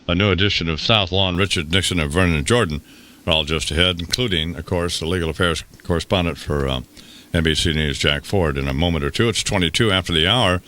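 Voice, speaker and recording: A man's voice: low voice, fairly quick, pushed and bright. A man hosting a morning radio show with casual talk and a dry, wry delivery. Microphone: close radio studio microphone.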